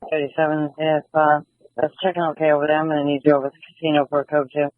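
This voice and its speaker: Guy dispatching on police scanner, kinda high voice, clipped, repeats stuff, tinny narrow radio sound. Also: monotone, rapid-fire, dry recording. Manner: clipped, procedural, repeats key details. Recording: tinny, band-limited police scanner feed.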